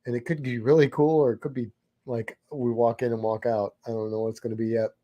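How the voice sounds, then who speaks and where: A man co-hosting a casual livestream, easygoing and chuckling as he reads viewer comments, his voice a little hoarse. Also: medium pitch, flat delivery, fast, dark tone. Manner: easygoing, chuckles often, hums to himself. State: slightly hoarse. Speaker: livestream co-host.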